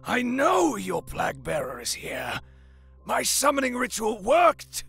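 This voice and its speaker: A fanatical sorcerer rotting for his dark god in a dramatic audio story. The voice is very high and screechy, thick with gravel, piercing and theatrical as it proclaims over music. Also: wildly swooping pitch, measured pace, exaggerated voice. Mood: pleading zeal. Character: fanatical rotting male sorcerer cultist craving immortality.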